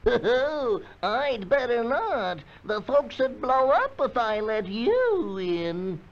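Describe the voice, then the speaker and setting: A hesitant, gullible cartoon gatekeeper, slow and swooping in delivery. The old soundtrack is dull with faint noise. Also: male voice, very high-pitched, sing-song, heavily acted. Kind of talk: 1930s cartoon voice acting.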